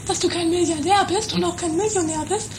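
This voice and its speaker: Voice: very high-pitched, steady tone, fairly quick. A performer voicing a bossy, doting girlfriend in an improvised eighties comedy radio play. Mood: apologetic, fussy. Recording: very noisy home radio play.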